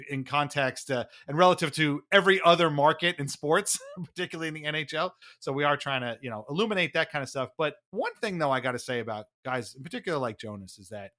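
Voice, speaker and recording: A man's voice: medium pitch, sharp tone. A man co-hosting a sports podcast, giving opinionated commentary in an emphatic, wryly exasperated way. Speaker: podcast co-host.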